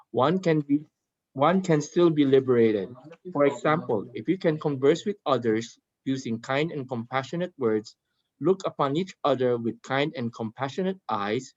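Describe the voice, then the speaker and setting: A man reading a Buddhist passage aloud at an even, measured pace to a study group over a video call. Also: medium pitch, no room sound. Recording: dull lo-fi call audio.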